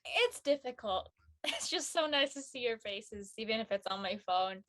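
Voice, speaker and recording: A woman's voice: a little high, expressive, moderate pace, a bit bright. A young woman playing a lonely, discouraged college student, speaking in a hesitant, plaintive way in a dramatic script reading. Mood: wistful. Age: young adult.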